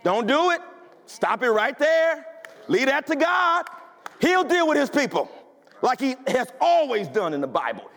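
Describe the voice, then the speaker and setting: High-voiced pastor preaching in a reverberant hall. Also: male voice, very animated, a little slow, fairly bright tone. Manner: emphatic, conversational preaching with rhetorical questions. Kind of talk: sermon.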